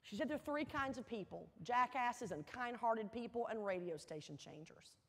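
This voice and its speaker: A woman with a fairly high voice delivers a motivational speech in a large, reverberant hall. She tells her story earnestly, leaning on key words and pausing for effect. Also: lively intonation, fairly bright tone. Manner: earnest, emphatic, dramatic pauses and repetition. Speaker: motivational speaker at a university welcome event. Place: large indoor hall, addressing an audience.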